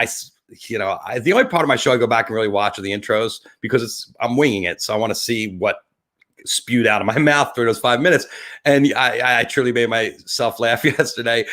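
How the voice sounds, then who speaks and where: A man with a New Jersey accent hosting a live webcast, improvising a rambling, tongue-in-cheek intro in an animated, scattered, stop-and-start way. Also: medium pitch.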